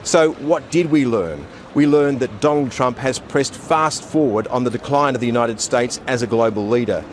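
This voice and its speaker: A man giving a deliberate, critical political commentary. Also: mid-range voice, a little hiss or hum. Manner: grave, deliberate critical analysis. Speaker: political commentator in a radio clip. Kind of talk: measured spoken commentary.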